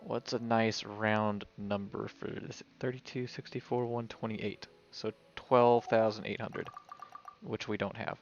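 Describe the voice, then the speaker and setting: A man's voice: lowish voice, little pitch movement, unhurried, muffled recording, slight background noise. A man streaming a video game, giving casual live commentary and thinking aloud as if talking to himself. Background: video game music.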